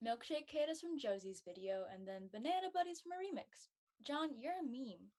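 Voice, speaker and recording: Woman as high school teen, frank, teasing, animated intonation, comedic audio drama. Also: a little fast.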